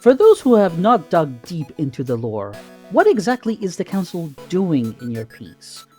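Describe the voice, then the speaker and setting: A man co-hosting a podcast, speaking casually in a measured, explanatory way as he analyses and asks questions. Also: high-pitched, wildly swooping pitch, darkish tone, faint music behind. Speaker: podcast co-host.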